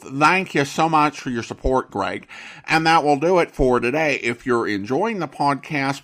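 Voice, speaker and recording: A man hosting a podcast, giving commentary in a deliberate, emphatic way with pauses between phrases. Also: on the high side, a bit bright. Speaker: podcast host.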